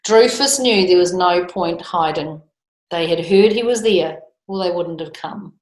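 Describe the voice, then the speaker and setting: A woman storyteller reading a children's book aloud with expressive, lilting emphasis. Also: fairly low pitch, unhurried. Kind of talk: storybook read-aloud with chatty asides. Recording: echoey room.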